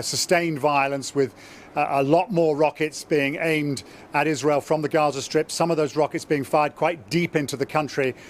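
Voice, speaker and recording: A man, a diplomatic correspondent, giving a live news report in a conversational, measured way with some fillers. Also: a little high. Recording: faint background noise.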